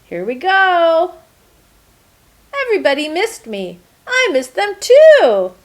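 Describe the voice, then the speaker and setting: A woman voicing an earnest, eager-to-please good egg in an expressive, emphatic children's storybook telling. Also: extremely high pitch, slow pace, slight background noise, pitched above the performer's own voice, exaggerated voice. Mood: relieved, hopeful. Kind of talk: first-person children's storybook narration.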